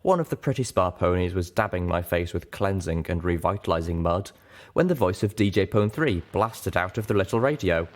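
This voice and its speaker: A man's voice: deep voice, animated, brisk, slight background noise. A man reading scripted first-person fiction as an audiobook narrator. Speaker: audiobook reader.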